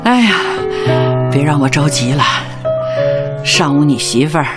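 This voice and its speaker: A woman's voice: low voice, animated, unhurried. An actress playing a shrewd, humorous elderly mother in a Chinese radio drama, teasing and probing. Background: music.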